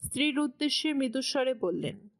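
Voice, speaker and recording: A woman's voice: high-pitched, lively intonation, a little fast. A woman reading scripted narration as the storyteller of a dramatic romance story.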